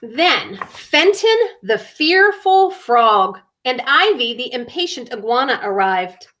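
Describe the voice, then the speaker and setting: A mature woman reading a children's story aloud, deliberately stressing key words and adding small asides for young listeners. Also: high-pitched, sing-song, pushed and bright.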